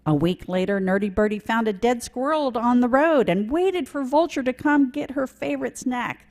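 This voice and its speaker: A woman reading a children's story aloud during a church service, slow and expressive. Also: fairly high pitch.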